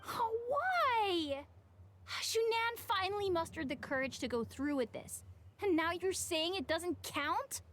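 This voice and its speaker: An argumentative young girl in an anime-style game. The voice is very high and swoops expressively, peppy and insistent, over faint background noise. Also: expressive, unhurried, a bit bright, full character voice. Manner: insistent, questioning.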